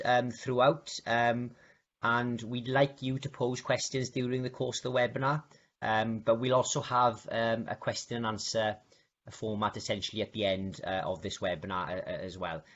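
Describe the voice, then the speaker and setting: A man's voice: fairly low pitch, lo-fi sound. Upbeat man hosting a webinar. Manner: upbeat, lots of fillers. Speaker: webinar host.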